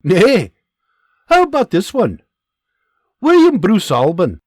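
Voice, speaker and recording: A man puts on a gruff Scottish sergeant with a pitched-up, loud bark in a story reading. The voice stays husky and rough at the edges, lashing out at his soldiers with clipped, commanding lines. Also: huge pitch swings, slow pace, dry recording, studio quality, full character voice. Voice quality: barking, scolding.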